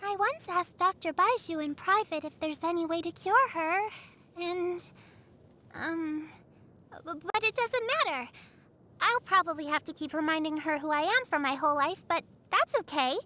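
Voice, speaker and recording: Tiny sweet girl for an anime game, super high bright voice, innocent and chatty, music behind. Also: moderate pace. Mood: wistful. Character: sweet earnest little girl, caring and dutiful. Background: background music.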